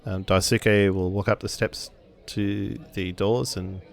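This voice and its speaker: A man's voice: lowish voice, flat delivery, slow, vocal fry, soft background music. A man casually narrating his characters' actions as a player in a tabletop game.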